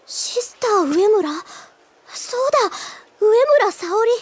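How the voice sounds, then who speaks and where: A woman's voice: very high-pitched, lively intonation, slow pace, full character voice. A woman playing a shy, earnest, easily flustered teenage schoolgirl in an anime audio drama. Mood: pondering. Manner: flustered, hesitant, girlish.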